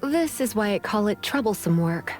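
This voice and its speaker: Game mage voiced by a woman: sultry, poised, condescending librarian, very expressive pitch, faint music underneath. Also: normal speed, natural voice. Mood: teasing threat. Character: elegant witch librarian, sultry, teasing, quietly menacing. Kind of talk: video game voice acting.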